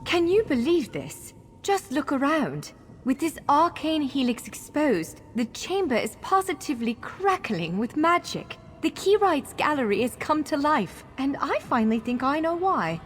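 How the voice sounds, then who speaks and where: A woman's voice: high-pitched, sing-song, a little slow, a little hiss or hum. A woman playing an earnest, encouraging female elf scholar who guides a hero in a fantasy video game. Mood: awed. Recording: long hall reverb, faint music.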